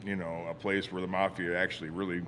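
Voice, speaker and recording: A man's voice: deep voice, flat delivery, quick pace, noisy background. A man from Western New York, a metal musician guest, talking casually and rambling with lots of "you know". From Western New York, USA. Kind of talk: casual interview chat.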